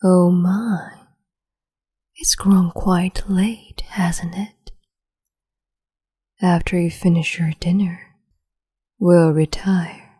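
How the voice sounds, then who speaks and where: A woman playing a cruel, capricious empress in an ASMR roleplay, whispering in a slow, teasing, domineering way. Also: lowish voice, steady tone, warm tone, full character voice. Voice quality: breathy whisper.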